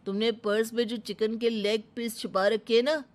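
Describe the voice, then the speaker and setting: A woman's voice: fairly high pitch, flat delivery, moderate pace, pitched above the performer's own voice, natural voice. A woman voicing an angry, indignant man, the bride's brother, in a cartoon story. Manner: scolding, indignant.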